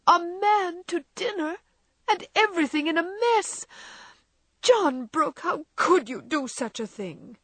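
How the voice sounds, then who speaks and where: A woman reading aloud voices a distraught young wife who pleads in a high, tearful, overwrought way. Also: animated, normal speed, long pauses, no echo. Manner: sobbing, pleading, high-strung.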